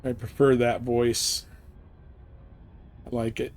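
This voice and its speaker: A man livestreaming a game, chatting casually in rambling, halting thoughts as he plays. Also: medium pitch, little pitch movement. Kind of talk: casual chat while playing. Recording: noticeable background noise and game sounds. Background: game ambience and ship computer announcements.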